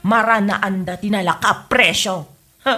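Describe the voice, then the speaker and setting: A woman's voice: medium pitch, steady tone, measured pace, pushed and bright, soft background music. A woman with a Filipino accent playing a resentful, complaining neighbour who holds a grudge in a podcast comedy drama. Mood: spiteful. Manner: indignant, complaining.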